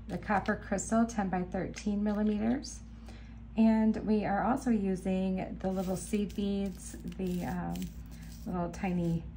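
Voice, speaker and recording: Woman, casual crafting host, mid-pitch, gravelly and slightly hoarse. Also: warm tone, slight background noise. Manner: chatty, enthusiastic, talks while working. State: slightly hoarse, clearing throat. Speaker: beading channel host. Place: indoor craft table. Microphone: camera above table, hands only.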